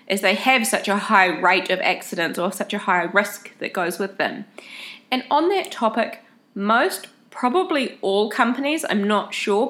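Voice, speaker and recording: A woman's voice: medium pitch, fairly bright tone. A woman talks to her viewers in a casual, friendly way, walking them through practical travel tips one step at a time. A head cold makes her voice sound plainly nasal and stuffed up. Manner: friendly, chatty, explains step by step. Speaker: travel vlogger. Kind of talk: casual advice talk to camera.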